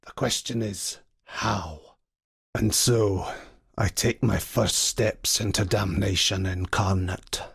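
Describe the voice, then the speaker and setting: A man voicing a devout, grim, righteous old templar in a video game, solemn and preacherly, with a gravelly, husky voice. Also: lowish voice, fairly even intonation, takes it slowly, a bit bright, no echo, clean studio sound, heavily acted. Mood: grave, brooding. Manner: solemn, pious, archaic preacherly phrasing. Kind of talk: dramatic video game character voice lines.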